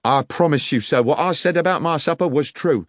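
A man's voice: fairly high pitch, fairly quick, no echo, clean studio sound, pitched above the performer's own voice, close to an everyday voice. A man voicing an honest, anxious working-class railway guard who answers deferentially and insists on his story. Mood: defensive.

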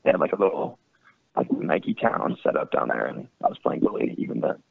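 A man's voice: extremely low pitch, big pitch swings, brisk, creaky, husky, dry recording, narrow, tinny audio. A young man from France and California who plays professional soccer as a goalkeeper, answering interview questions in a thoughtful, easygoing, casual way. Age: young adult.

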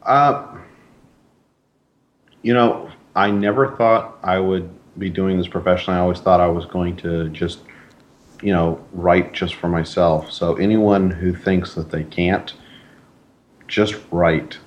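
Male writer as interview guest, deep voice, modest, earnest, conversational delivery. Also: fairly even intonation, measured pace, highs cut off. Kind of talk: casual interview chat.

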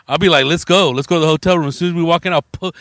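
A man's voice: fairly high pitch, fairly even intonation, very fast, fairly bright tone, no echo, clean studio sound. A male podcast co-host throwing in crude quips and laughing a lot during casual banter.

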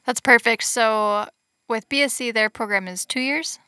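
A woman hosting an interview, encouraging and quick to react. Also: a little high, sharp tone, no echo, studio quality. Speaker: podcast host. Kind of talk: casual interview chat.

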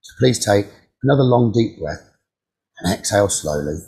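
A man leading a guided meditation as a teacher, giving calm, soothing instructions with frequent pauses. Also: lowish voice, creaky.

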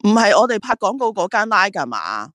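A woman's voice: mid-range voice, big pitch swings, fairly quick, a bit bright, dry recording, studio quality. A woman playing a driven, proud and reflective female ad agency boss in a radio drama, with a Hong Kong Cantonese accent. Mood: businesslike. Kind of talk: radio drama dialogue and monologue.

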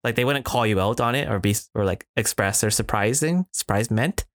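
A man's voice: lowish voice, a little fast, a bit bright, no echo, clean studio sound. A man in casual podcast chat, reflective, with many fillers and restarts. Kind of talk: casual conversation.

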